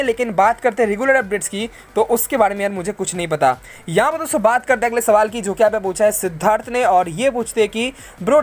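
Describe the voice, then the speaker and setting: An Indian tech channel host casually reads out and answers viewer questions, explaining things conversationally and mixing English tech terms into the talk. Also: medium pitch. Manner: conversational, explanatory, addresses listeners as bro and friends.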